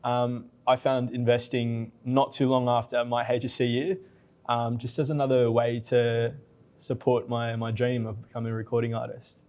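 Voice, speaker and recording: An eighteen-year-old Australian man introduces himself in a relaxed, laid-back way. His voice stays very flat, with little rise or fall. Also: medium pitch, moderate pace. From Southwest Sydney, Australia. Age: teens. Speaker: young musician. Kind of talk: casual self-introduction.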